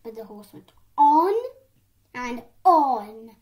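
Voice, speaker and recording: A young girl tells a children's story. Her voice is very high, and she reads at a slow pace. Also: expressive, a little mellow.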